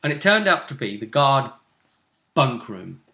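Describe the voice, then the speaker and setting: A man working as game master, casually recapping a tabletop role-playing session with animated, emphatic storytelling in a roomy space. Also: mid-range voice, a little slow, gravelly, slight background noise. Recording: roomy, echoey sound.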